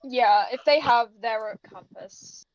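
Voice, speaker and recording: Girl playing dnd style game, highish voice, muffled lofi mic, chatting casually. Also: slow. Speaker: tabletop roleplaying player. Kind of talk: casual chat. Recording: dull, lo-fi microphone.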